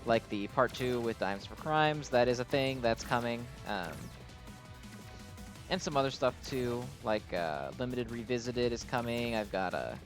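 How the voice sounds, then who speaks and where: A man's voice: medium pitch, expressive, a little slow. Hesitant, rambling man commentating over music. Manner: hesitant, rambling, often hedging his opinions. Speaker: video game commentator and channel host. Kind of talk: casual unscripted game commentary.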